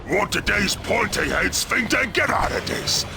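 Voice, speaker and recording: A brawling ork warboss from a video game, gravelly and Cockney. The voice sits high and swings widely, half-shouting its cocky, blunt lines over loud music. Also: male voice, big pitch swings, measured pace, exaggerated voice. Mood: scornful. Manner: shouted, blunt, broken grammar. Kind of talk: video game cutscene acting.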